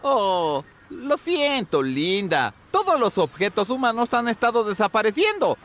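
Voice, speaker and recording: Excitable, wildly swooping cartoon seagull. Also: male voice, sits high, unhurried, exaggerated voice. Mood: flustered, apologetic. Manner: rambling, exclamatory, folksy. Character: scatterbrained, friendly, excitable male seagull. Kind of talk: animated video game voice acting.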